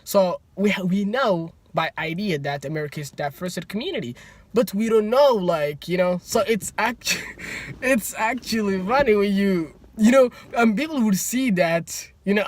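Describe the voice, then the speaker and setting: Young adult male, Somali accent, animated storyteller, slightly high pitch. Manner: animated, acts out both sides of conversations, laughs often. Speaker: student. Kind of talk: casual storytelling.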